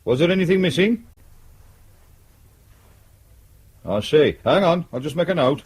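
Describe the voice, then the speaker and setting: An actor playing a sardonic, ambitious British detective sergeant in a radio crime drama, with a dry, blunt manner. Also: male voice, fairly high pitch, lively intonation, quick pace, husky. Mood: businesslike.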